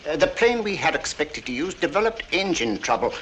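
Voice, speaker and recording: An actor playing a deferential, apologetic gentleman official, formal and hesitant in 1940s film style. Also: on the high side, sing-song, fairly quick. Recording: noisy 1940s film soundtrack.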